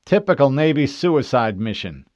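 A man's voice: medium pitch, very animated, normal speed, dry recording, studio quality, voice pushed up, lightly acted. A man voicing a brash, suspicious male marine corporal in an audiobook, blunt and slangy. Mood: suspicious, scornful. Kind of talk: audiobook character voice.

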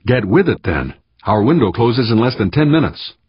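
A man's voice: on the low side, expressive, fast talker, slightly rough, no room sound, lo-fi sound. A man voicing a testy, impatient woman spaceship skipper who barks and scolds in audiobook dialogue. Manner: sharp, barking, scolding.

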